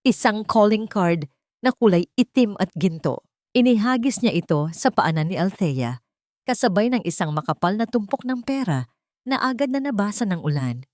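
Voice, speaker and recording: A woman's voice: mid-range voice, big pitch swings, normal speed, a little mellow, dry recording, clean studio sound. A woman reading a dramatic love story as a scripted narration.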